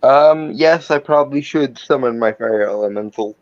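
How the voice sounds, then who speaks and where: A man's voice: medium pitch, normal speed, no room sound. A man playing a tabletop roleplay game, discussing moves casually with precise, wordy phrasing. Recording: dry, dull lo-fi close audio.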